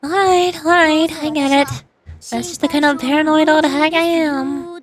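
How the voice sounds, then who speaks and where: A woman's voice: high-pitched, fairly even intonation, moderate pace, close to an everyday voice. A woman voicing a small, sleepy, granny-like game character who grumbles and fusses with self-importance. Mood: resigned, sleepy. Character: small sleepy granny-like elder girl, fussy and caring.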